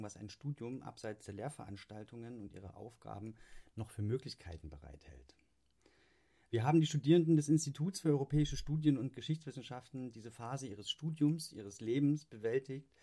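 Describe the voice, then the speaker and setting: Mellow man reading a podcast intro. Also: medium pitch, fairly quick. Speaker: podcast narrator. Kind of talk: scripted narration.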